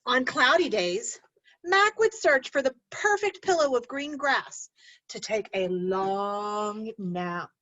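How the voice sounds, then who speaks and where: Lively woman teacher reading aloud. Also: fairly high pitch, takes it slowly, dry recording, dull audio. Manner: gentle, explaining, asks children questions. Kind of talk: reading a picture book aloud to children.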